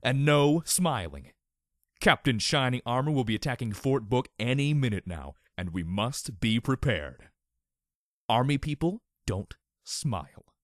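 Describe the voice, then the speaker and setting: A man's voice: medium pitch, animated, measured pace, no room sound, studio quality, lightly acted. A man voicing a bossy, pompous young girl pony playing army general in an overly dramatic storybook reading. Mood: stern. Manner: self-important, overly dramatic.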